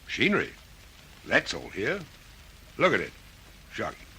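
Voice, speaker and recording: Flustered polite factory manager, really up and down bright voice, faint hiss, radio play. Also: male voice, on the low side, huge pitch swings, unhurried, heavily acted, low-quality recording. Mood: dismayed, puzzled. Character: flustered, well-mannered male factory manager. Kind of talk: old radio detective drama acting.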